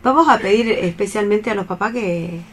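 A woman's voice: mid-range voice, normal speed, slight background noise. A woman with an Argentine accent chatting casually as a panelist on a radio show. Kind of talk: casual chat.

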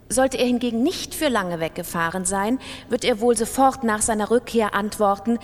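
An actress reading aloud the pleading, despairing letter of a penniless writer in a radio drama. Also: female voice, mid-range voice, close to an everyday voice. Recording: long reverberant hall sound.

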